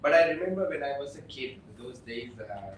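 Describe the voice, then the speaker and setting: Sri lankan guy chatting on a panel, lots of ums, mid pitch, roomy far-off mic. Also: lively intonation, a little hiss or hum. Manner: halting, with many fillers and restarts. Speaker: election observer, program officer. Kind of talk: casual panel conversation. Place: indoor room, shared table with other guests. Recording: echoey room, distant mic.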